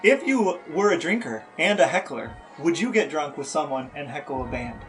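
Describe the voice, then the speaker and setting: Guy podcast host, kinda high voice, really animated, wry mock-serious chat, faint music. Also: moderate pace, poor audio. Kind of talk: casual chat and playful interviewing.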